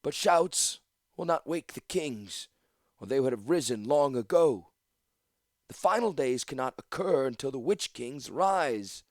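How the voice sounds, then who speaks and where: A man voicing a cold, imperious old woman, a council servant in a fantasy audiobook, speaking in a measured, formal, disdainful way. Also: fairly high pitch, sing-song, darkish tone, no room sound, clean studio sound, pitched above the performer's own voice.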